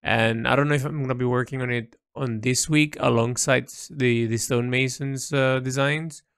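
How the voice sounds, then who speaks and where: Guy doing a thoughtful audio log, gravelly, dry close mic, stops and starts a lot. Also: mid-range voice, steady tone, a bit bright, no echo. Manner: halting, hesitant, thinking aloud with repeats. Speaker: painter recording an audio log. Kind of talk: reflective spoken audio diary, unscripted.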